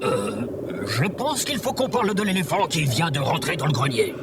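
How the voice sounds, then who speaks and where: A man playing an overly polite, gently naive sidekick in a comedic audio drama. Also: fairly high pitch, wildly swooping pitch, normal speed, music in the background. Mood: earnest, conciliatory. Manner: overly polite, formal phrasing. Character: earnest, formal, gently naive male sidekick.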